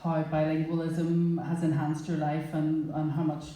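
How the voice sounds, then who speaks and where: A woman's voice: low voice, level tone, normal speed, mellow. A woman, a journalist hosting a live panel, asking long rambling questions. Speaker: host, journalist. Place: large indoor venue before an audience. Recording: echoey hall from far off.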